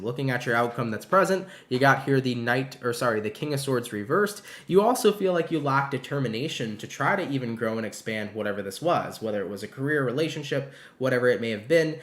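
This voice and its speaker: A man's voice: mid-range voice, fairly bright tone, reverberant. A man with a stuffy nose from allergies giving a casual, encouraging tarot reading to viewers. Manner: chatty and encouraging, calls viewers "baby". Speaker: tarot reader.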